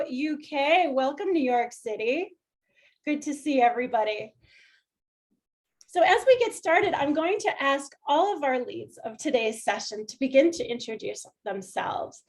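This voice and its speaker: A woman welcoming people to an online workshop over a video call, inviting and gently instructive. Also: sits high. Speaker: workshop facilitator.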